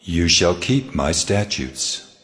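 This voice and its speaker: An actor playing God in a dramatized scripture reading, speaking with slow, grave authority. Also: male voice, low voice, very animated, fairly bright tone, exaggerated voice. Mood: solemn. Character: God speaking laws, solemn commanding male deity. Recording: long reverb with faint music.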